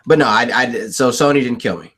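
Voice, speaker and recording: A man's voice: mid-range voice, fairly quick, a bit bright, slightly rough. A man hosting a casual livestream, who laughs often, repeats himself and answers his chat. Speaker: livestream host.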